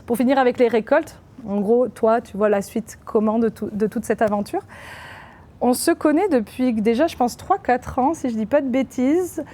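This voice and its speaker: A woman hosting a podcast interview, asking questions in a relaxed, friendly way. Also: a little high, moderate pace. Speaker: podcast host.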